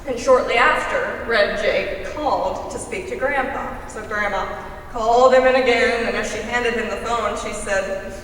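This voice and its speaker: A woman's voice: on the high side, a little slow, a bit bright. A woman telling family anecdotes as a performer in a staged reading. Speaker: readers theater performer. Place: large hall, live stage reading. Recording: echoey hall from far off, faint noise.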